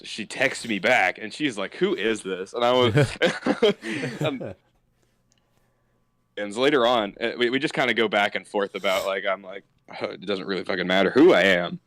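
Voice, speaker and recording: A sixteen-year-old boy telling a story in casual chat, animated and laughing, sometimes jumping into high put-on voices. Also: normal speed, dry recording, clean studio sound. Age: teens. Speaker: podcast co-host, storyteller.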